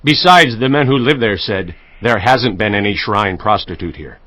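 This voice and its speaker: A loyal friend in an audio scripture drama. His slightly low voice is bright and forceful, plain-spoken and dutiful, recorded close and dry. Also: animated, normal speed, no echo. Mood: reporting.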